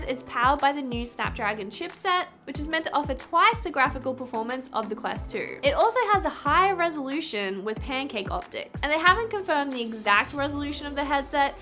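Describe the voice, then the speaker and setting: Young australian woman, high clear voice, stuffy cold nose, chatty tech vlogger, faint music underneath. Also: bright, forceful tone. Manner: upbeat, chatty, opinionated. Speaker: VR tech YouTuber. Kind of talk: scripted tech review to camera.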